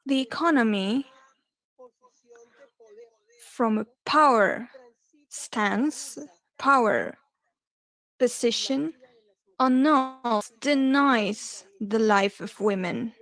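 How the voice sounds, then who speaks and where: A woman interpreting a panel discussion live, her voice set a little higher than most. She moves slowly and haltingly, pausing often and correcting herself mid-phrase. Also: fairly bright tone, rough recording. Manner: halting, with pauses and self-corrections. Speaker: simultaneous interpreter.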